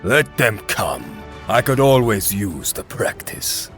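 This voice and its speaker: A man voicing a proud, disdainful warrior who speaks in a measured, formal way in a fantasy drama. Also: on the low side, very animated, a bit bright, faint music behind, full character voice. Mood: stern, measured. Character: stern, proud wandering warrior, calm and disdainful.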